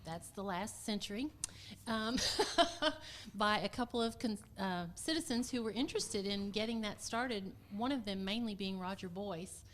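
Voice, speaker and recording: A woman's voice: medium pitch, a little slow. A middle-aged woman from Texas talking as a podcast guest, telling local history in a casual, conversational way. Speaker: podcast guest, community theater vice president of production.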